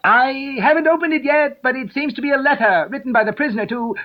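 A man's voice: very high voice, fast, lo-fi sound, voice pushed up. A man voicing a nervous, fussy male rabbit courtier who speaks in a polite, deferential way. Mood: hurried, deferential. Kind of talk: audiobook character voice.

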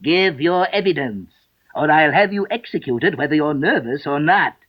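A man's voice: medium pitch, sing-song. Man playing a pompous, swooping storybook king. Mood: angry threatening. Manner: pompous, imperious, deliberate. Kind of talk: storybook character voice. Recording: dull lo-fi recording.

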